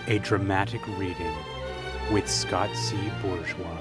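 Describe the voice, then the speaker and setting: Man putting on a slow, low, grave announcer. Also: fairly even intonation, loud music behind the voice. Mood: solemn. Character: grave, theatrical announcer introducing a dramatic reading. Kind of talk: mock-solemn programme introduction.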